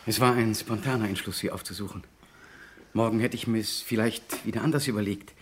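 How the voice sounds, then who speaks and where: A man's voice: lowish voice, steady tone, brisk, a little hiss or hum, lightly acted. A man acting an anxious, tormented client in a 1960s German radio play, speaking hesitantly and broodingly as he confides. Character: anxious, tormented man seeking a private detective's help.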